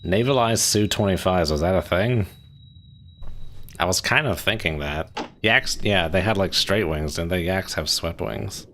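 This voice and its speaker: A man's voice: low-pitched, unhurried, fairly bright tone, a little hiss or hum. A young man from Maryland casually chatting with viewers while playing a game, swearing lightly and laughing often. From Maryland, USA. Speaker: streamer.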